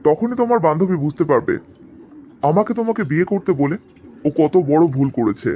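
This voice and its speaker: A man's voice: a little high, very animated, brisk, highs cut off, a little hiss or hum. A man playing a proud young rich bridegroom in a cartoon drama, speaking coldly and bluntly. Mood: cold, scornful.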